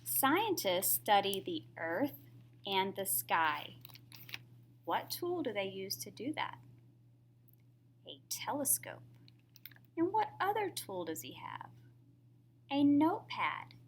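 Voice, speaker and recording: A woman's voice: medium pitch, very animated, slow pace, long pauses, slight background noise. A woman reading a picture book aloud to young children in a teacherly way, often putting questions to the listener.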